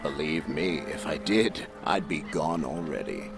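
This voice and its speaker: An actor playing a solemn, courtly older recruiter for a death cult in a video game, speaking formally and theatrically. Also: male voice, extremely low pitch, animated, measured pace, creaky, heavily acted. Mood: wary, questioning. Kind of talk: video game character acting. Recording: dramatic music behind.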